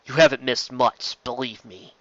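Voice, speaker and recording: A man reads as an embittered war captain in captivity, an audiobook hero who is sardonic and defiant. The voice is bright and forceful, with a dry, slightly dull recording. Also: mid-range voice, steady tone, moderate pace, no echo, close to an everyday voice. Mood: wary, sardonic. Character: bitter, defiant male war captain held prisoner.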